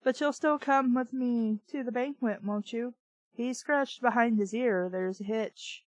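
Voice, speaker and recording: A woman's voice: on the high side, unhurried, darkish tone, dry recording. A woman reading a story aloud and breaking in with blunt, exasperated, sarcastic commentary full of swearing. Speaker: host of a bad-fiction reading show.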